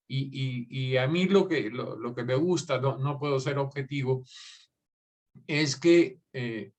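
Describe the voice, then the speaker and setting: A man's voice: mid-range voice, a bit bright. A man with a mid-range voice hosts a conversation in a writing workshop. He talks with enthusiasm, stumbling over repeated words as he works toward his point. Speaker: workshop host. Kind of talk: conversational interview.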